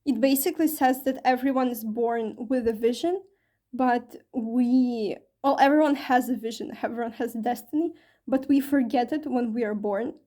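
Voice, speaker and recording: Woman, tarot reader, casual chat, fairly high voice, soft mellow tone, lots of fillers, slightly strained voice. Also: normal speed. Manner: chatty, with many fillers and self-corrections. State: slightly strained voice from talking long. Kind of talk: casual tarot reading to camera.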